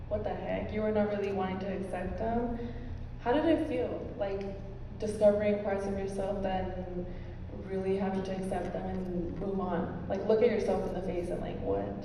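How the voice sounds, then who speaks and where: A nervous woman in the audience asks a rambling question and apologizes as she goes. Her middle-pitched voice is caught from a distance in a large hall with long echo. A layer of noise sits under her. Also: moderate pace. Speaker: audience member asking a question. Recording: echoey hall from far off, background noise.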